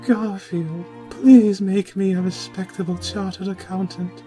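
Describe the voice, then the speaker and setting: A man's voice: high-pitched, fairly even intonation, measured pace, music in the background, pitched above the performer's own voice. A man voicing an ardent royal young woman who pleads melodramatically in a comic story reading. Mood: pleading desire.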